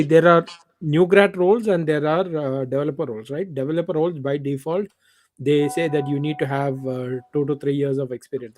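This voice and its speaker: A man's voice: fairly high pitch, darkish tone. A man giving career advice at a developers meetup, explaining things plainly and repeating his key points. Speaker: meetup organizer.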